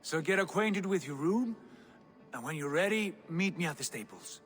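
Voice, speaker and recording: An actor playing a proud, guarded Viking jarl who speaks with firm, curt authority in a dramatic game cutscene. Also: male voice, on the high side, lively intonation, moderate pace, a bit bright.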